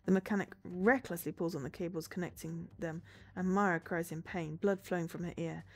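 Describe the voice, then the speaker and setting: Woman, game streamer, lowish husky voice, dramatic visual novel narration, music underneath. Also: fairly even intonation, brisk, darkish tone.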